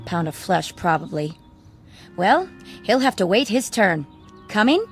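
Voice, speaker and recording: An actress playing a bitter, scornful American wife in a radio drama, sarcastic, biting and commanding. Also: female voice, mid-range voice, very animated, unhurried, faint music behind. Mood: impatient.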